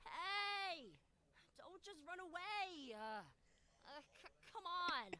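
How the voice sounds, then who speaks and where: A woman's voice: a little high, sing-song, takes it slowly. A woman voicing a flustered, defensive young boyfriend in a visual novel, stammering and earnest. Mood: indignant.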